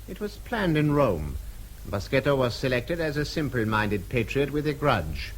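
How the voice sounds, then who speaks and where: An actor playing a cold, menacing senior official in a radio drama, speaking in a formal, clipped and precise way. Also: male voice, mid-range voice, very animated, moderate pace, a little hiss or hum. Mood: coldly insistent.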